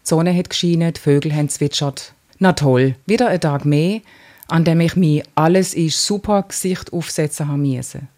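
A woman with an Alemannic German accent, a podcast co-host reading a text aloud in a lively way. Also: sits low, moderate pace.

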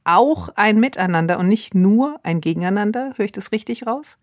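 A woman hosting a radio interview, asking questions in a measured, formal way. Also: mid-range voice, lively intonation, no room sound, clean studio sound. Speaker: radio host.

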